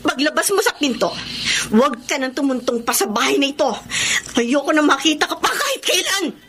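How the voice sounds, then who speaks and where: A woman's voice: high-pitched, very animated, fairly quick. A woman playing a betrayed, anguished wife in a radio drama, speaking bitterly and tearfully with intense emotion. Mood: restrained anger. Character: betrayed, anguished wife throwing her husband out. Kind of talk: Tagalog radio drama acting. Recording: noisy radio drama recording with ambience.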